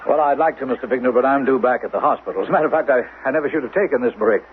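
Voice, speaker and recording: An American actor playing a protective, persuasive doctor husband in a 1970s radio drama, measured but sometimes haltingly hesitant. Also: male voice, mid-range voice, natural voice. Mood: guarded, nervous. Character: reasoning, protective doctor husband hiding a dangerous secret. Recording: lo-fi radio broadcast with hiss.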